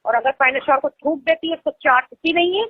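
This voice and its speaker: Young woman, Lucknow Hindi accent, high voice, chatty explaining, tinny phone line. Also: brisk, dry recording. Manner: explains at length, laughs easily. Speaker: phone caller. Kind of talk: casual phone conversation. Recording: tinny phone call recording.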